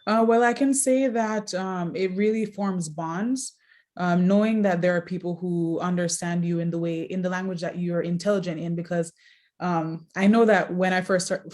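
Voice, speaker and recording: A woman's voice: lowish voice, steady tone, normal speed, a little mellow. A young woman from Jamaica giving casual, reflective interview answers, hesitantly thinking as she goes. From Jamaica, later Mexico. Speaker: interview guest, social worker.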